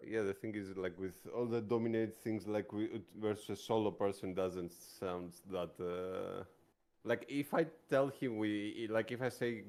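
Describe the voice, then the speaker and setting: Male tabletop player, fairly low voice, hesitant, casual game talk. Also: darkish tone. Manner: hesitant, halting.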